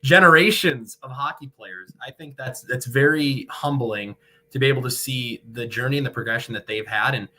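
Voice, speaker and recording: Young guy, sports broadcaster on a podcast, wordy n articulate, bright crisp-edged voice. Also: medium pitch, normal speed, a little hiss or hum, rough recording. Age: young adult. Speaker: guest, radio hockey play-by-play broadcaster. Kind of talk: conversational interview answers.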